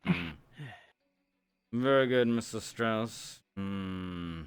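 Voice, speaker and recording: A man playing a measured, formal guildmaster in an improvised virtual roleplay, with thoughtful hums between phrases. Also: on the low side, smooth, no echo. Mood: reserved, courteous. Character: measured, formal male guildmaster of a fantasy town.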